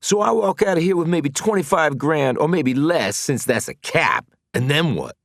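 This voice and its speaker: A man's voice: on the high side, expressive, normal speed, gravelly, no echo, studio quality, pitched above the performer's own voice. Man acting an angry, bitter wrongly convicted man. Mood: angry, frustrated. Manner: blunt, slangy, frustrated. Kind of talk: dramatic audiobook character dialogue.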